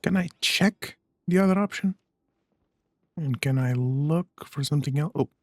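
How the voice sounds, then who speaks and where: A woman's voice: sits low, moderate pace, darkish tone, dry recording, studio quality. A woman giving casual, understated gameplay commentary as she streams, in short murmured reactions. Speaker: streamer playing a game.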